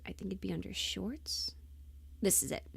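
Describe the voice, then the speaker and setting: A woman's voice: medium pitch, moderate pace, creaky, whispered. A woman chatting casually on a livestream, scattered and giggly, thinking aloud as she goes, close to the mic. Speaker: livestream host and audio performer.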